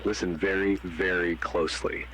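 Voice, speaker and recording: Brief: man playing a deep-voiced horror-broadcast announcer, flat and commanding, with a noisy hiss behind. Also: normal speed. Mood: ominous. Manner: flat, ominous, commanding warnings. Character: grim male emergency broadcast announcer. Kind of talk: analog horror warning broadcast.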